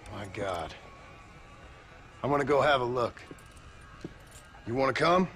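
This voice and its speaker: A lively, wry voice with a dry edge, a frontier gunslinger who keeps his jokes deadpan. Soft ambience hisses under the lines. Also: medium pitch, unhurried. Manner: dry, wry, gruff drawl. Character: dry-witted frontier gunslinger, grown man. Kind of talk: video game cutscene acting.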